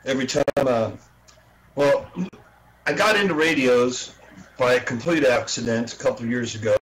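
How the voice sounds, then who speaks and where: A man from Texas chatting casually as a radio hobbyist in an online voice chat. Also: mid-range voice. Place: indoors, home, online voice and video chat. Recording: noisy voice chat microphone.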